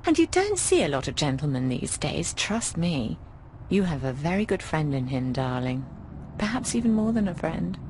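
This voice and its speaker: A woman's voice: sits low, big pitch swings, moderate pace, noisy background. An actress playing an affectionate, frank, middle-aged British boarding-house landlady in a video game. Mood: fond, teasing. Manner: affectionate, frank, cheeky, often calls people darling.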